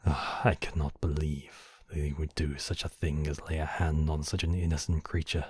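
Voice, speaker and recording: A man voicing a courtly, possessive demon king, menacing yet tenderly protective, in slow, intimate ASMR roleplay with formal, measured phrasing. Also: rumbling low, level tone, breathy edge, dry recording, studio quality, heavily acted. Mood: quietly furious. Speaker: voice actor in a roleplay. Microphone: close microphone, dry.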